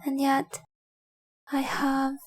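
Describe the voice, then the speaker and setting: A woman playing a devoted young dragon-blooded fiancée in a romantic audio roleplay, speaking softly, earnestly and adoringly. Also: high voice, monotone, extremely slow, dry recording, full character voice. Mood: tender, heartfelt. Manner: soft, earnest, adoring, often dropping to breathy whispers.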